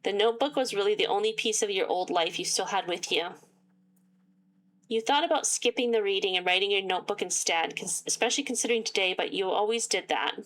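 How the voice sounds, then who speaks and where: Woman reading a game's story aloud between dry asides, voice wearing thin; mid pitch. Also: a little hiss or hum, low-quality recording. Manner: reads game text aloud and breaks off with sarcastic asides. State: losing her voice. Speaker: gaming YouTuber. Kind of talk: reading a visual novel aloud with commentary.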